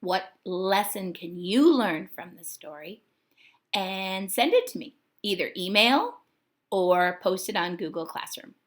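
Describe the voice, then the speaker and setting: A woman teacher reading a storybook aloud and talking to her students in an expressive, deliberate way. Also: mid-range voice. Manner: expressive, deliberate, addressing children directly.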